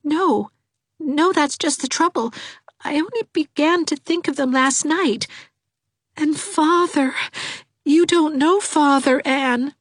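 A high, fretful young woman who whimpers and stumbles over her words, timid and tearful as she frets, as a woman reader puts her on. The voice climbs well above the reader's own and sounds thin, with dry close sound like a storybook reading. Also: takes it slowly, no echo. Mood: whimpering.

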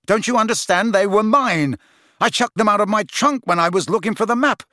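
A man's voice: a little high, very animated, measured pace, a bit bright, dry recording, studio quality, voice pushed up. A man voicing a sensible, exasperated teenage boy in an audiobook, matter-of-fact and impatient. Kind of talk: audiobook character voice.

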